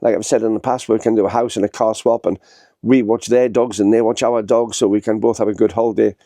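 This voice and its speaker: A man's voice: on the low side, fairly even intonation, fast talker, mellow, no room sound, clean studio sound. Bunged-up man. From northern England. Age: 60s. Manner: rambling, chatty, many fillers and restarts. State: congested with a head cold. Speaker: vlogger. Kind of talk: casual talking-to-camera vlog. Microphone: small handheld camera.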